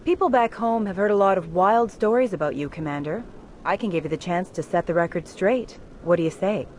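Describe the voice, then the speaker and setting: A woman's voice: on the low side, lively intonation, fairly quick, darkish tone, slight background noise, lightly acted. A woman playing a polished, needling TV news reporter in a video game.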